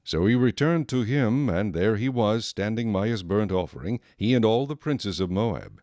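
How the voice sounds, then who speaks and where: A male narrator tells a scripture story in a fairly low voice. His reading is measured and solemn, recorded close and dry without any room sound. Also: animated, a little mellow, no echo.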